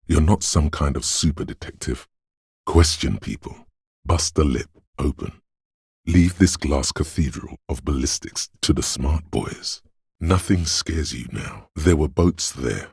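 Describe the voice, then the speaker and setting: Grave, gravel-deep inner detective voice. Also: male voice, expressive, a little mellow, dry recording, clean studio sound, heavily acted. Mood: terse, commanding. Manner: measured, solemn, deliberate, poetic phrasing. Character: inner detective voice of visual reasoning, grave, cerebral, hypnotic. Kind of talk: dramatic game narration, second-person.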